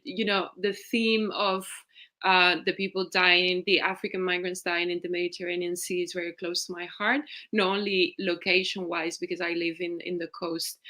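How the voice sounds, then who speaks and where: A woman raised in Spain answers questions about her short film over a video call. Her voice sits a little low but sounds bright and forward. She talks reflectively, circling back with restarts and the odd soft laugh. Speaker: film director, guest.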